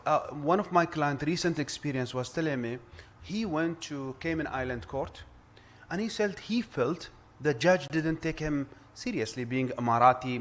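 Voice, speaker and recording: A man's voice: on the high side, a bit bright. A man with an Arabic accent giving an earnest conference address, often repeating words and restarting sentences. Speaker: conference speaker, arbitration lawyer. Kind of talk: formal address at a round table.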